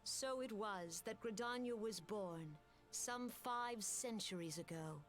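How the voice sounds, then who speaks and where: A woman voicing a calm, dignified elder leader in a video game, giving a solemn speech about her nation's history. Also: medium pitch, takes it slowly, faint music behind, natural voice.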